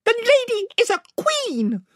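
A very high, proud storybook mouse warrior. He is formal and chivalrous, with haughty, swooping delivery. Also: wildly swooping pitch, unhurried, a bit bright, no room sound, clean studio sound, full character voice. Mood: indignant. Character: gallant, haughty, high-pitched talking mouse warrior, male.

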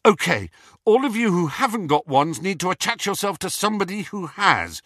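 Guy doing a bossy blustering young wizard in disguise, lively bright voice. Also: high-pitched, moderate pace, dry recording, studio quality, pitched above the performer's own voice, heavily acted. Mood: commanding. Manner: commanding, blustering, authoritative. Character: young wizard disguised as a powerful official, commanding.

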